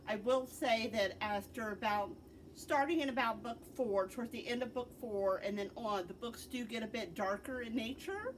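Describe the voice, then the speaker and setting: A woman's voice: on the high side, moderate pace. American woman recommending books, noisy recording. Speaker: librarian host. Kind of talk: informal book recommendation talk to camera.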